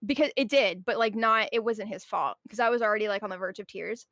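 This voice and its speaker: A woman's voice: mid-range voice, fast, a bit bright, dry recording, clean studio sound. A woman telling stories casually and dramatically, swearing freely and acting out exchanges as she vents on a livestream. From Puerto Rican heritage. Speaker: guest on a livestream. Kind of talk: casual venting, animated storytelling.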